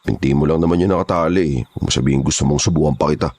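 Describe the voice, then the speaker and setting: An actor playing a rough kidnapper's henchman in a horror audio drama, colloquial and easily rattled. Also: rumbling low, very fast, darkish tone, exaggerated voice. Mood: taunting. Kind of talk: dramatised horror story acting.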